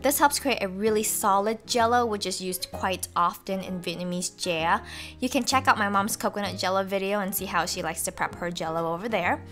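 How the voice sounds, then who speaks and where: A woman's voice: medium pitch, brisk, a bit bright, soft background music. Young woman narrating a cooking recipe. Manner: cheerful step-by-step instruction. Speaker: cooking channel host. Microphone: voice-over.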